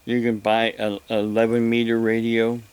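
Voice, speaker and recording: A man giving a rambling, casual explanation to viewers, halting and repeating himself as he goes. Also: mid-range voice, monotone, darkish tone, smooth, no room sound. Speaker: vlogger.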